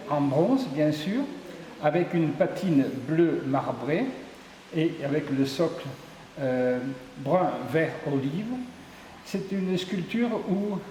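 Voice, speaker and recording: A man's voice: on the high side, warm tone. A middle-aged man, explaining his work as a sculptor in an interview. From Ardèche, southern France. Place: indoors in an exhibition room at a tourist office. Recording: echoey reverberant hall, faint background noise.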